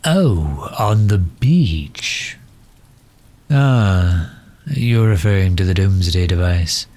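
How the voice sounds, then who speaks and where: Supervillain played by a man for ASMR roleplay drama: very low, unhurried, pompous and pedantic, condescending yet affable. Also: lively intonation, a little mellow, full character voice. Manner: condescending, wordy, hesitant pauses. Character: pompous, pedantic, affable gentleman supervillain, fond of his hero.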